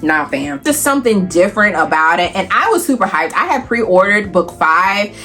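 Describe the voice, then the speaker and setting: An American woman talking casually as a book vlogger, animated, emphatic and playful, with a folksy, familiar way of addressing viewers. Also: medium pitch, normal speed, faint music behind. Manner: animated, emphatic, playful, calls herself auntie; folksy, familiar address with y'all, honey, child. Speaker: book reviewer and video host. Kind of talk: casual, chatty book vlog.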